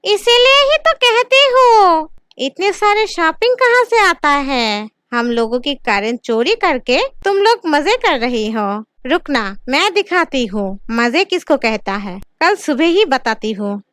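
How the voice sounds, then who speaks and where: A woman's voice: high voice, sing-song, takes it slowly, fairly bright tone, no echo, clean studio sound, exaggerated voice. A woman voicing a nosy, spiteful village neighbour in a cartoon.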